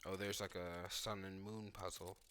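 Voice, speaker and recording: A man's voice: sits low, little pitch movement, moderate pace, a bit bright, slight background noise. A man giving casual, off-the-cuff commentary on a video game, thinking aloud in informal language and often saying "like". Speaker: gaming video creator reacting to cutscenes and gameplay.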